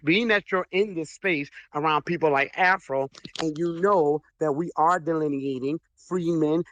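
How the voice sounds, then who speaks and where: A man's voice: on the high side, poor audio. A man taking part in an online audio debate, speaking firmly and earnestly with strong mannerisms.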